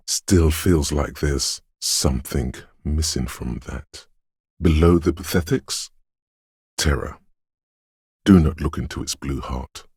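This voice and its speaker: A man playing a detective's ominous, dreamy intuition in a video game, speaking in a hushed, portentous, theatrical way with dramatic pauses and a gravelly, husky voice. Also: extremely low pitch, no room sound, clean studio sound, exaggerated voice. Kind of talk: video game voice acting, second-person inner monologue.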